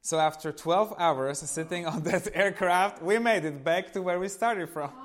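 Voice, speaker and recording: A man in his thirties from eastern Slovakia, with a Slovak accent, speaks informally to an audience as a guest giving a personal testimony, telling his stories in an animated way. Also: high-pitched, normal speed.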